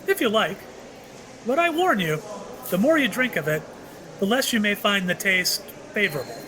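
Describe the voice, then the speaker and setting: A mysterious male shopkeeper, played by an actor in an audio drama, with a high, very lively voice. He is courteous and apologetic, hesitating as he offers cryptic remarks, over clear background noise. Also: normal speed. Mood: genial, wry.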